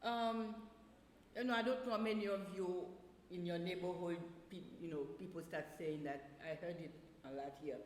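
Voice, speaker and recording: A woman telling casual anecdotes in an animated way, laughing often and acting out what people said. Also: lowish voice, normal speed. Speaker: guest, teacher of small children. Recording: roomy echoing space with faint noise.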